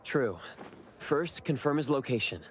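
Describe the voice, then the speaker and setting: A man as a reserved young game hero, mid-pitched. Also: expressive, a little slow, slight background noise, close to an everyday voice. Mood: polite, earnest. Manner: hesitant, understated, polite. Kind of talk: anime game voice acting.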